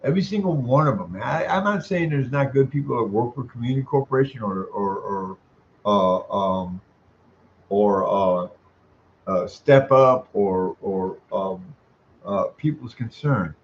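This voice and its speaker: A man's voice: fairly low pitch, measured pace. An older man making an informal complaint call, emphatic and aggrieved, repeating himself as he rambles. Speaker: caller, tenant making a complaint. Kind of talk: informal phone complaint, venting. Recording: dull lo-fi sound with background noise.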